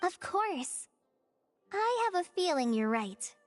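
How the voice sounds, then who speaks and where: A woman's voice: very high-pitched, very animated, slow pace, soft background music, heavily acted. A woman voicing an earnest, grateful young female forest ranger in a video game, speaking politely.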